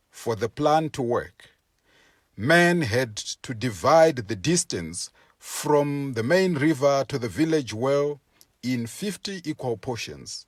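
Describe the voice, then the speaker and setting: A man reading a folktale aloud as a storyteller, with expressive, deliberate and dramatic phrasing for young listeners. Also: medium pitch, slightly rough, dry recording, clean studio sound. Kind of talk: expressive scripted story narration for children.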